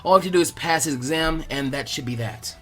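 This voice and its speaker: A man voicing a laid-back, clever teenage ninja boy, weary and matter-of-fact, in a story reading. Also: natural voice. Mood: calm, firm. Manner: flat, measured, lower-voiced, matter-of-fact. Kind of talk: fan fiction character reading. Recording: music behind the voice.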